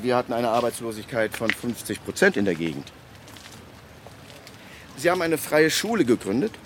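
An actor playing a government official in a radio play, telling a case emphatically with dramatic pauses and acted-out dialogue. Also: male voice, a little high, background noise. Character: animated male government official recounting a land restitution case. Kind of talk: conversational monologue in a radio play.

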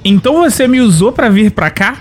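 A brave, easily bewildered young hero, acted by a man in a fantasy audio drama. His voice sits rather high and sounds earnest and declamatory. Light music runs behind it. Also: animated, fairly quick. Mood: surprised, confused. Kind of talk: audiodrama acting.

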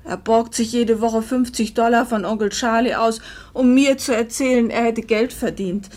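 A woman's voice: a little high, steady tone, normal speed, darkish tone, natural voice. An actress playing a weary, protective older mother in a 1950s German radio drama, earnest, emphatic and pleading. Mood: bitter, impassioned. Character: weary, protective older mother defending her exhausted husband.

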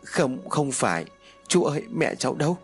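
A man's voice: mid-range voice, big pitch swings, moderate pace, faint music behind, voice pushed up. A man voicing a frightened small girl, tearful and pleading. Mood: scared, tearful.

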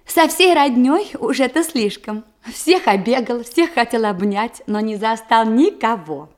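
High, animated, sly young bride. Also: female voice, unhurried. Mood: animated, playful. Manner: expressive, theatrical. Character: sly, lively young bride feigning modest, thrifty simplicity. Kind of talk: classic Russian radio theatre comedy, narration and verse.

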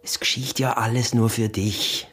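An actor with an Austrian accent playing a cunning, insistent peasant smuggler and husband in a radio drama. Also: male voice, mid-range voice, steady tone, moderate pace, loud music behind the voice, natural voice. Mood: coaxing, sly. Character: sly scheming peasant smuggler, husband, early 1900s. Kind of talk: radio drama acting in dialect.

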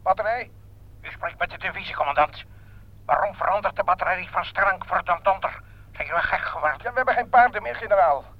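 An actor playing an agitated military man shouting over a field telephone in a radio drama. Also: high voice, quick pace, long pauses. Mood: agitated, urgent. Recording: dull, dry telephone-like radio play recording.

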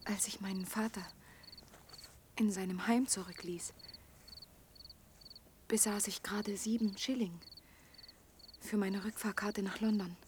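A woman's voice: mid-range voice, fairly even intonation, measured pace, pauses often, a little mellow, slight background noise, natural voice. An actress playing a lonely young woman of twenty in an intimate German radio drama, hurt and pleading yet proud, often dropping toward a whisper. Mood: sad, confiding. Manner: urgent, imploring, emotionally open.

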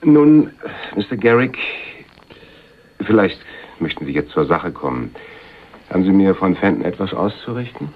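Very deep, gravelly crime boss. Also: male voice, very animated, exaggerated voice. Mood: dry, guarded. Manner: formal, deliberate, drily sardonic. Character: menacing, coolly businesslike man weighing hiring a killer. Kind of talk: 1980s German radio crime drama. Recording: dull, muffled radio recording.

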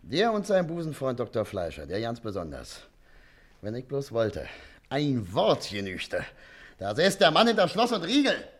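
A man's voice: mid-range voice, huge pitch swings, normal speed. Pompous, wildly swooping, vindictive man. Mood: indignant, menacing. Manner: pompous, menacing, declamatory. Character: pompous, vindictive male informer, full of threats. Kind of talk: theatrical radio play acting.

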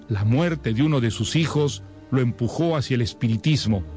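A man's voice: mid-range voice, darkish tone. Man presenting literary radio over music. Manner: measured, literary, expository. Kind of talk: scripted narration.

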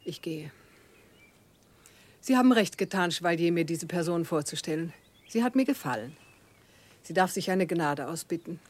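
A woman's voice: fairly low pitch, expressive, normal speed, long pauses. A woman playing a capricious Queen of France in a German radio drama, haughty, regal and commanding. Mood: condescending. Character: capricious, imperious Queen of France, grandly condescending.

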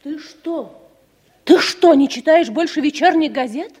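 Forthright teasing girlfriend type, high voice, wry, playful, old radio play. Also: unhurried, darkish tone. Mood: urgent. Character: teasing, forthright woman friend of the hero. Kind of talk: Soviet radio drama acting.

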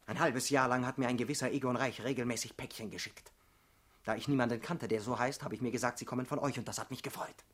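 A young man just out of prison played by an actor in a radio play. His voice is medium-pitched and husky. He talks at length with bitter irony and brooding defiance. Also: steady tone, fast, fairly bright tone, close to an everyday voice. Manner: talkative, ironic, brooding. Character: young ex-convict party member, bitter, talkative, defiant. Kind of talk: German radio drama acting.

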